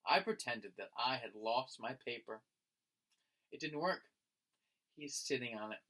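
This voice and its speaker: A man's voice: medium pitch, animated, measured pace, sharp tone, rough recording. A man reading a children's picture book aloud like a teacher, expressively and with friendly address to young listeners. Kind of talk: animated children's read-aloud.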